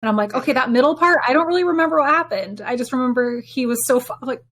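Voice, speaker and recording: A woman with a slightly high-pitched voice talks casually as a podcast co-host. She rambles through stories about games, slipping into quoted asides as she tells them. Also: fast, fairly bright tone.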